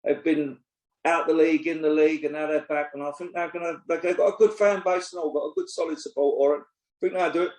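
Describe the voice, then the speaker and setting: A man's voice: on the high side, steady tone, fast, a little mellow. A man, co-hosting a casual football podcast, blunt and opinionated, swearing freely. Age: 60s. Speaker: podcast co-host and pundit. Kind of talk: casual chat. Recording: roomy echo.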